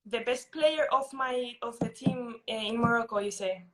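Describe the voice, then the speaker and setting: A young woman from Spain speaks in a slightly high voice. She answers interview questions in a relaxed, thoughtful way, with plenty of ums and restarts as she works out what she means. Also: normal speed, poor audio. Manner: thoughtful, many fillers and restarts. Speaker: interviewee, national team footballer.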